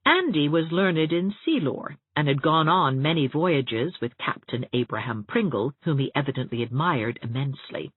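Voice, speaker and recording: A woman's voice: low-pitched, expressive, normal speed, few pauses, slightly rough, dry recording, narrow, tinny audio. A woman narrating an audiobook story expressively from a script.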